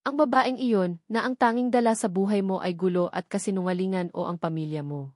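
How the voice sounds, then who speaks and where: A woman's voice: mid-range voice, fairly quick, a little mellow, dry recording, clean studio sound, close to an everyday voice. A woman voicing a cold, controlling rich mother in a story, stern, challenging and persuasive. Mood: coaxing, firm. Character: cold, controlling rich mother defending her family name.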